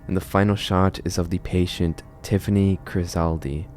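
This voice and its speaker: A man with a notably deep voice talks through a horror series as a video host. He explains things conversationally, like a narrated essay. A faint low noise sits under the recording. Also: steady tone, normal speed, a little mellow.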